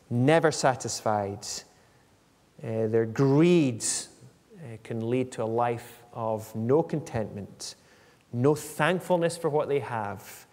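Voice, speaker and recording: A man in his forties delivering an expository sermon in a measured, explanatory manner, reading out scripture and commenting on it. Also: mid-range voice, a little mellow. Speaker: preacher. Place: indoor church hall at a lectern. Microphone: microphone on a stand at lectern. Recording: echoey church hall, long reverb.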